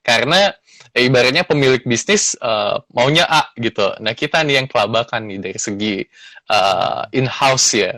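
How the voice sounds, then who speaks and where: A man's voice: mid-range voice, expressive, normal speed, pushed and bright, dry recording. A man in his thirties, a lawyer guest in a casual interview, speaking earnestly and reflectively with many fillers. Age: 30s.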